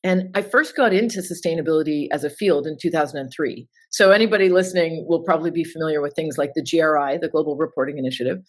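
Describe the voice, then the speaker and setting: A woman's voice: low-pitched, fast talker, few pauses. A woman in her forties from Toronto, giving chatty, informal answers as an interview guest over a remote call. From Toronto, Canada. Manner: chatty and informal, with filler words. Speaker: interview guest, sustainability consultant.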